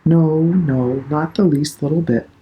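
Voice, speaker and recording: A man's voice: on the high side, a little slow, mellow, natural voice, rough recording. Man playing a meek, apologetic grown son. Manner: weak, hesitant excuses.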